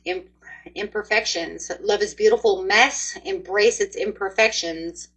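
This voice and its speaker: Breathy woman in her forties reading tarot. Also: medium pitch, unhurried, fairly bright tone, poor audio. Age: 40s. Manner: upbeat, chatty, talks straight to viewers. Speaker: tarot reader. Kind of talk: casual tarot card reading.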